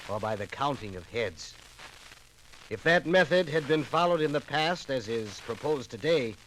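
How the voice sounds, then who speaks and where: A man's voice: medium pitch, close to an everyday voice. An actor playing an impassioned male legislative orator in a 1950s radio drama, declaiming formal, emphatic rhetoric. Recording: noisy 1950s radio record.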